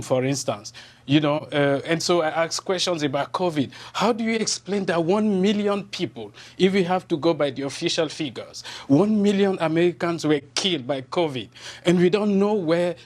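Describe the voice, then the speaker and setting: A man's voice: on the high side, normal speed. Indignant man with a Francophone Cameroonian accent. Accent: Cameroonian French. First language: French. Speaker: journalist, interview guest. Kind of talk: television interview.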